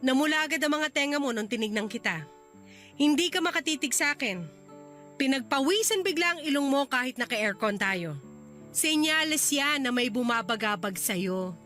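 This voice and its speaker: High, sharp-voiced indignant wife. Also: female voice, animated, normal speed. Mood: stern, probing. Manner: confrontational, indignant, emphatic. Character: frustrated, sharp-tongued young wife and mother. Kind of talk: Tagalog radio drama acting. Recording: music bed under the voice.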